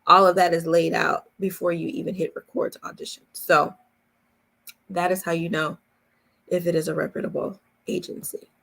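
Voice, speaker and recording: A woman's voice: fairly low pitch, little pitch movement, normal speed, vocal fry, fairly bright tone. A woman coaching in a casual question-and-answer chat, explaining emphatically point by point with repetition. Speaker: voice-over coach hosting a live Q&A.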